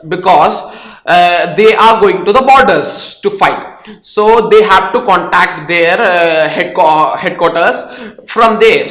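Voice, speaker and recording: A man's voice: high voice, bright, forceful tone. A young Indian man with an Indian English accent, hosting a casual tech explainer video and talking hesitantly with many fillers and restarts. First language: Hindi or Tamil. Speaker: tech channel host. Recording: echoey room.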